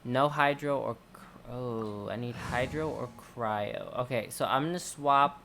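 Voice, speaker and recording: Man, average pitch, game playthrough host, reading dialogue aloud, commenting, slight game ambience behind. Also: a little slow, vocal fry, sharp tone, a little hiss or hum. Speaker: gaming video host. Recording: faint game sound behind voice. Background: game audio and ambience.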